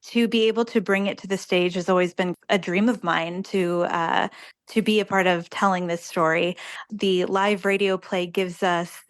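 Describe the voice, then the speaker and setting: A woman's voice: fairly low pitch, steady tone, normal speed, dry recording. A woman speaking as a director and interview guest, earnest and effusive in a conversational style.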